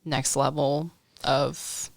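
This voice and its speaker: A woman of twenty-five, a guest musician, musing reflectively in a casual podcast chat, trailing off in thought, on a studio boom mic. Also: flat delivery, very slow, gravelly, dry recording. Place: small decorated podcast studio. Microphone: studio boom mic with headphones.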